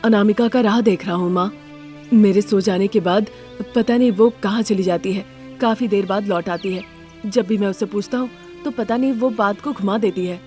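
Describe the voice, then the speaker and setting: A woman's voice: mid-range voice, normal speed, mellow, soft background music, lightly acted. A woman voicing a troubled, fretful injured husband in a moral story. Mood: complaining. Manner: complaining, troubled. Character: injured husband in wheelchair, fretful, suspicious, later remorseful.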